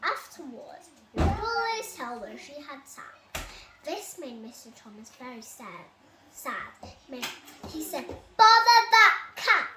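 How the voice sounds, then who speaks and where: A high-pitched child reads a bedtime story aloud. The delivery is slow and dramatic, with a very animated melody. The sound comes from an echoey room. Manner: expressive, dramatic reading. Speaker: storybook reader. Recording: roomy echo, loud enough background noise.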